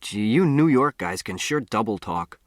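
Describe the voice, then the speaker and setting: A man's voice: on the low side, expressive, normal speed, dry recording, clean studio sound, lightly acted. A man voicing a cocky, mocking small-town American boy in an audiobook, with slangy, challenging delivery. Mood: teasing, baffled.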